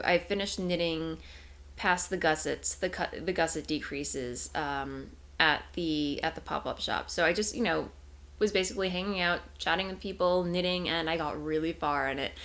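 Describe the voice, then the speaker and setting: Young woman podcast host, congested and nasal, low gravelly voice, meandering casual chat. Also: normal speed, a bit bright. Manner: rambling, self-correcting, giggly. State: tired. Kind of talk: casual chatty video podcast.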